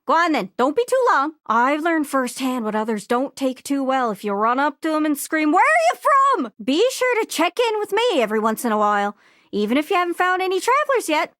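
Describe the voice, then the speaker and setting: An actor, a woman, playing an excitable, chatty little talking globe in a video game, gushing and impatient with folksy turns of phrase. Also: high voice, brisk, no room sound, studio quality. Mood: excited, helpful. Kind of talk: animated video game character acting.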